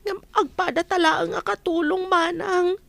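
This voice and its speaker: A woman's voice: extremely high pitch, steady tone, measured pace, vocal fry, a bit bright, dry recording, exaggerated voice. A woman acting a distressed, pleading young housemaid in an Ilocano radio drama.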